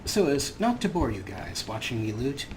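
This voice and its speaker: A man's voice: mid-range voice, sing-song, moderate pace, a little hiss or hum. A man hosting a let's play, explaining game mods with dry, wry humor in casual commentary.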